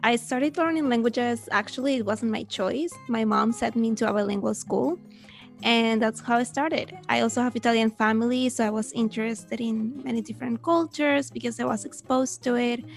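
Guest: young woman, gravelly voice, keen storyteller, faint music behind. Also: on the high side, normal speed. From Venezuela. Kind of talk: casual interview chat.

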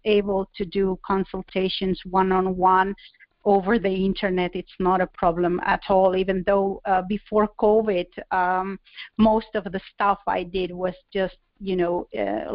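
Woman, earnest gentle guest, dry muffled call audio. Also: medium pitch, dry recording. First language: Spanish. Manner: gentle, earnest, with small laughs. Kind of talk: interview over a call.

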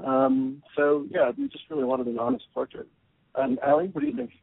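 A man editing an anthology answers interview questions, thoughtful and lively, often restarting his phrases. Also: very high voice, a little fast, creaky, husky, no room sound. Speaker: anthology editor. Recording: narrow phone-like line, dry.

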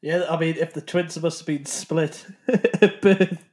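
He is a man with a fairly high voice. He talks casually as a podcast guest, circling back over his phrases in a hesitant, repetitive way. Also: steady tone, a little slow, a little mellow. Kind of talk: casual chat.

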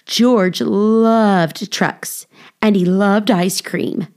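A woman narrates a children's storybook at a very unhurried pace. Her pitch sits in a middle range, and her phrasing is expressive and deliberate, shaped for young listeners. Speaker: audiobook reader, children's storyteller.

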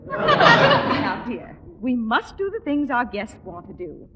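An actress playing a chirpy, bossy middle-aged wife in 1950s radio comedy, gushing and fluttery, on an old recording. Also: female voice, on the high side, huge pitch swings, slow pace, very noisy, exaggerated voice. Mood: brisk, coaxing.

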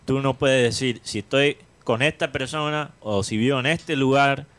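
A man's voice: medium pitch, normal speed, fairly bright tone, smooth. A man with a Cuban accent, co-hosting a casual chat show and telling stories in a playful, joking way. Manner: playful storyteller, given to jokes. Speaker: co-host.

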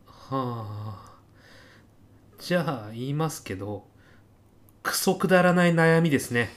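A man's voice: mid-range voice, expressive, measured pace, lightly acted. A man in a comedic radio drama playing a meek, hapless junior businessman, deferential and hesitant but prone to blunt exasperation. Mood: reluctant, blunt.

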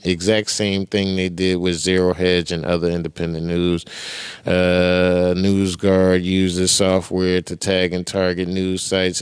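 Deep flat-voiced man reading news. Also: smooth, dry recording, clean studio sound. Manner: blunt, joking, slangy. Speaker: podcast host. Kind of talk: casual commentary on news.

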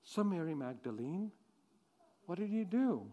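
A man's voice: high-pitched, sing-song, measured pace, phone-quality sound, exaggerated voice. A man playing Mary Magdalene as a disciple who mourns and then rejoices, telling it in first person with many repetitions. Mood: hushed grief. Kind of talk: dramatic monologue for a congregation.

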